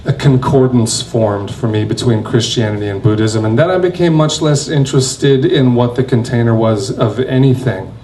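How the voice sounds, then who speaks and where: A man in his forties speaking as a panel guest in a crowded covered pavilion, measured and reflective, into a stand mic. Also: mid-range voice, darkish tone, slight background noise, rough recording. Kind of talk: panel discussion.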